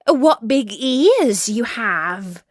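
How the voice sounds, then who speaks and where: A woman voicing a wide-eyed little country girl, asking slow, wondering questions. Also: a little high, sing-song, no room sound, clean studio sound, heavily acted.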